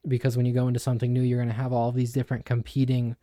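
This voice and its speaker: A young man co-hosting a podcast, explaining ideas in a thoughtful, measured, conversational way. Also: medium pitch, monotone, warm tone, no room sound, clean studio sound. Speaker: podcast co-host. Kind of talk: casual explanatory discussion.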